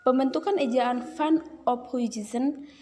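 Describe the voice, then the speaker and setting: A woman's voice: high-pitched, a little slow, a little mellow, faint music behind. Young woman reading in a reverberant room. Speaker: student presenter. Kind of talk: reading a class presentation.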